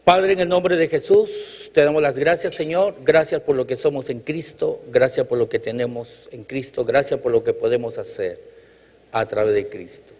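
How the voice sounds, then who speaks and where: A man's voice: medium pitch, moderate pace, a little mellow. Preacher man in an echoey hall. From Chile. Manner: emphatic, rhythmic repetition, light jokes. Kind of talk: sermon with congregational call-outs. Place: church hall. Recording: reverberant hall, dull lo-fi sound.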